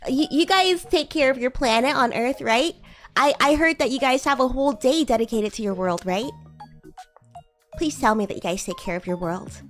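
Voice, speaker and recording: Woman playing a high-pitched bratty demon schoolgirl over music. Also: moderate pace, natural voice. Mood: chatty, teasing. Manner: teasing, haughty, stammering, giggly. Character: bratty, boastful young female demon schoolgirl, secretly flustered. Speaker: VTuber streamer. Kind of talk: improvised roleplay livestream chatting with viewers.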